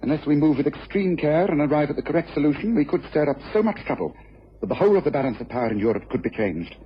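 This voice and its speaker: An actor playing a brisk, commanding detective in a radio crime drama, with an incisive, intense and self-reproachful manner. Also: male voice, fairly high pitch, lively intonation, slightly rough. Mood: urgent, authoritative. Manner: incisive, assertive, lecturing. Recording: dull, hissy old radio recording.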